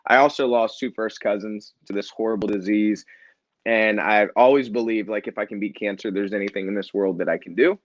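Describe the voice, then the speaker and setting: Middle aged guy, lowish voice, laid back storyteller, dry muffled audio. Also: fairly quick, no room sound. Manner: relaxed, earnest, light self-deprecating humor. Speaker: nonprofit founder and author. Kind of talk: casual interview storytelling.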